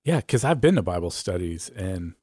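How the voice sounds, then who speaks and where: A man's voice: deep voice, big pitch swings, moderate pace, a little mellow, breathy edge, no echo. A middle-aged man co-hosting a casual podcast, chatting with dry humour. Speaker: podcast host. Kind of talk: casual conversational podcast talk.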